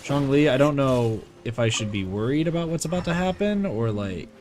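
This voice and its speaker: A man's voice: medium pitch, animated, normal speed. Guy streaming a game, joking casual commentary, voice goes up n down, music playing. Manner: joking, reacting aloud to the game.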